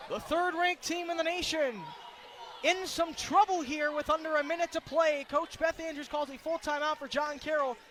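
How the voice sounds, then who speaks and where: A man doing excited, projected radio play-by-play of basketball in short, clipped, rapid-fire phrases. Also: extremely high pitch. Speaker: play-by-play commentator. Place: indoor basketball arena. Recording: loud crowd and gym noise. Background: crowd noise and bouncing basketball.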